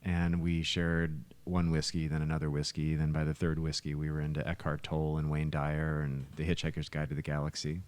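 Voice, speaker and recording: A man's voice: very deep voice, completely flat delivery, brisk, hardly any pauses, a little mellow, clean tone. A man chatting casually and telling stories as a musician and songwriter on a podcast, speaking into a close microphone. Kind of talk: casual storytelling chat. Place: living room.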